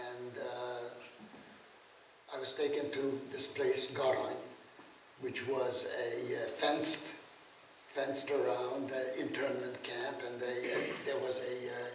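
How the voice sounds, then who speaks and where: A man in his eighties, from Czechoslovakia with German as his first language, giving a spoken testimony with halting speech full of fillers and restarts. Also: mid-range voice, fairly bright tone, a little hiss or hum. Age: 80s. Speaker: guest speaker, Holocaust survivor. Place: lecture room. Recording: very echoey room, mic far off.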